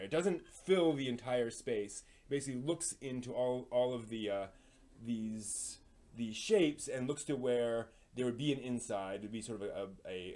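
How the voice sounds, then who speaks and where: A man hosting a casual live stream, explaining hobby projects in a halting, thinking-aloud way. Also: mid-range voice.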